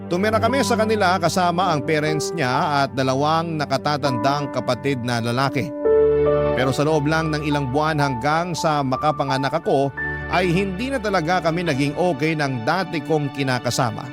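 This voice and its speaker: A man's voice: on the high side, moderate pace. A Filipino man reading a first-person love story as a radio host, in scripted narration. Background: loud music under the voice.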